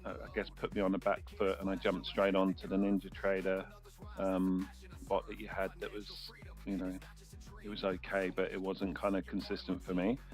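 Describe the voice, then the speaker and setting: A man's voice: low-pitched, completely flat delivery, moderate pace. A man on a live call, chatting casually as a customer in a hesitant, meandering, colloquial way. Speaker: customer calling in with questions. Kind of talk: casual chat. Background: music.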